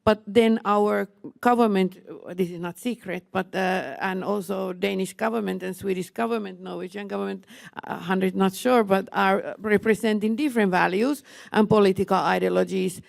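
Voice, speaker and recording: A woman speaking as a panelist, halting with frequent fillers, in a language not her first. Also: mid-range voice, fairly even intonation, creaky, no room sound, clean studio sound. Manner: halting, many fillers and restarts. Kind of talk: panel discussion.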